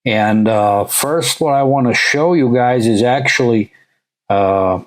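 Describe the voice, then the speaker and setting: A man's voice: mid-range voice, takes it slowly. A middle-aged man from Latvia, for whom English is a third language, casually talking as a channel host and leaning heavily on "uh" and "you know.". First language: not English; English is his third language. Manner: rambling, many "uh" and "you know" fillers. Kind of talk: casual vlog narration over a shared screen.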